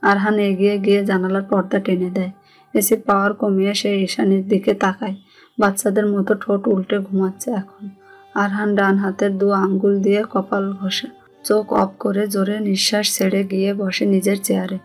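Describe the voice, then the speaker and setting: A woman's voice: medium pitch, completely flat delivery, normal speed, breathy edge. A woman with a Bangladeshi accent reading scripted narration of a romantic story. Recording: music under the voice.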